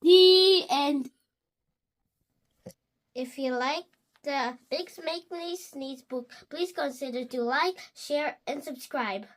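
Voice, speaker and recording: Very high-pitched, slow young girl presenter. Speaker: children's story channel presenter. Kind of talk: read-aloud presentation.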